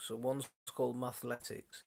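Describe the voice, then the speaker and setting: A man hosting a gardening channel, chatting casually on a live stream from his home, his voice going hoarse, with a rambling, friendly way of talking. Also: mid-range voice, little pitch movement, normal speed, darkish tone. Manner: rambling, many restarts, chatty and friendly toward viewers. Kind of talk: casual live-stream chat answering viewers. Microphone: phone.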